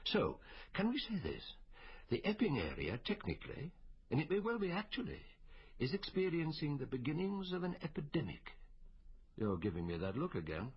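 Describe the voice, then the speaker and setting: Husky, droll medical official. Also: male voice, on the high side, wildly swooping pitch, full character voice. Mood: dry, thoughtful. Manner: droll, measured, donnish, given to quoting poetry. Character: wry, urbane male medical officer of health, gently teasing. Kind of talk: BBC radio drama acting. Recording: dull, muffled radio recording.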